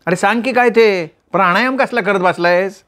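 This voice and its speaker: Male reader doing a high, playful young woman; chatty teaser in a storybook reading. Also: a bit bright. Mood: teasing. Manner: teasing, quick, bantering. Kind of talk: storytelling with voiced characters.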